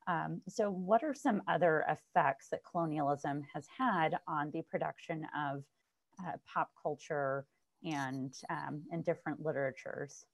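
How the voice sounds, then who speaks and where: A woman moderates over a video call, putting long, careful questions to the panel. Her voice is very low and gravelly, and her delivery stays measured. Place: indoor room with shelves. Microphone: video call webcam.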